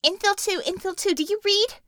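A woman's voice: very high-pitched, brisk, fairly bright tone, no room sound, clean studio sound, pitched above the performer's own voice. A woman voicing an urgent, bossy young woman who guides a squad over a comm in clipped commands, in an audiobook. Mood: urgent, terse.

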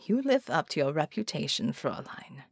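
A sly, courtly male villain voiced by a woman. He talks low and softly with ironic politeness, as in a dramatized audiobook. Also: big pitch swings, moderate pace, gravelly, slightly rough, dry recording, clean studio sound, heavily acted. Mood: sly, pleased.

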